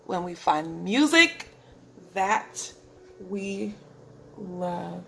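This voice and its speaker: A woman voicing a shy, sensitive male giraffe in a children's storybook, earnest and expressive. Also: fairly low pitch, very slow, gravelly, slight background noise, voice pushed down, full character voice, rough recording. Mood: quiet, proud.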